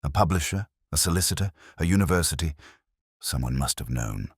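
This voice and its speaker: A man voicing a shrewd, commanding Victorian detective with measured, formal speech in an audiobook story. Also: a bass voice, expressive, husky, dry recording, studio quality, heavily acted. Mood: firm, resolute. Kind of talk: audiobook character dialogue.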